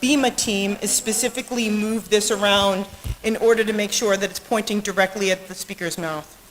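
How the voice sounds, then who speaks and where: A woman's voice: medium pitch, fairly even intonation, moderate pace. A woman speaking live into a podium microphone during a sound check, on a hissy live stream. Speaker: bema team member testing microphones. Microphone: podium microphones, sometimes speaking off mic. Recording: hissy live stream, sometimes distant from mic.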